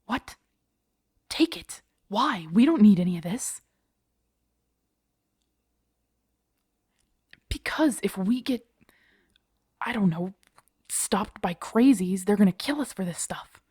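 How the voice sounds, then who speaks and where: Casting: actress for protective zombie-apocalypse survivor, young woman, husky and dark-toned, hushed, halting, urgent, for intimate audio drama. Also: medium pitch, animated, no room sound, studio quality. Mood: alarmed, defensive. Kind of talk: intimate audio roleplay acting.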